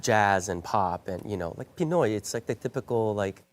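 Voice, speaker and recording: A man from the Philippines whose first language is Tagalog talks casually as an interview guest. His voice sits fairly low, and his speech is loose and chatty, full of fillers and restarts. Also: expressive, moderate pace. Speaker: guest singer.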